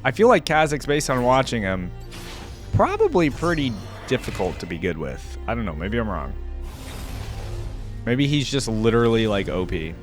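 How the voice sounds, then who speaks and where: Loud game music runs behind a man's voice. He gives informal reaction commentary as a video host, thinking aloud in a casual way. His pitch sits in the middle range for a man. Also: sing-song, normal speed.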